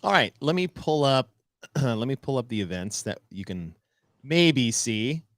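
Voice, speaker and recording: A man's voice: medium pitch, animated, a little slow, no echo. Guy cohosting a podcast, joking around and laughing a lot, dry close sound. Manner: joking, teasing, laughs often. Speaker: podcast co-host. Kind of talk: casual podcast chat.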